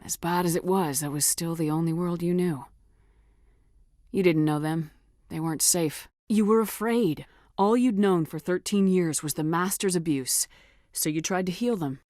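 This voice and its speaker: A woman's voice: lowish voice, moderate pace, darkish tone, dry recording, studio quality, close to an everyday voice. A woman playing a steely but compassionate military starship commander in a video game, speaking in a firm, direct and controlled way. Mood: gentle, probing. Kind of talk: scripted video game dialogue acting.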